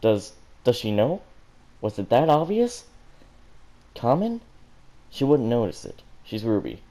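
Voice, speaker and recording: A man voicing a shy, smitten teenage girl musing haltingly to herself in a story reading. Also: medium pitch, very animated, warm tone. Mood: worried.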